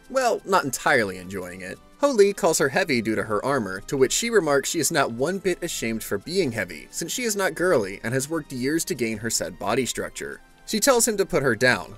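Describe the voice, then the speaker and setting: Animated male recap narrator over music. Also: medium pitch. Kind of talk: scripted narration of a comic story recap. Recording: music bed under the voice. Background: background music.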